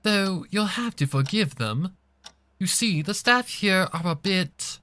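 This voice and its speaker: A man's voice: high voice, lively intonation, slow, sharp tone, no room sound, pitched above the performer's own voice, full character voice. A man voicing a refined female pony who explains things patiently in polite, formal phrasing. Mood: composed, apologetic.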